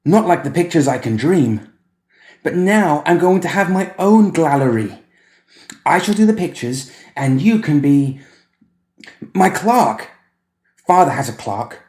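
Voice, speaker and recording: A man's voice: fairly high pitch, big pitch swings, a little slow, long pauses, darkish tone, roomy sound, pitched above the performer's own voice. Man voicing a piping, imperious little boy. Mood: excited, bossy. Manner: precise childlike speech, bossy. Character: strange imperious little boy, petulant and excitable.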